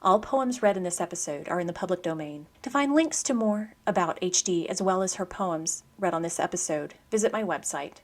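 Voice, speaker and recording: A woman hosting a poetry program, speaking in a measured, articulate scripted style for radio narration and poem reading. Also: lowish voice, animated.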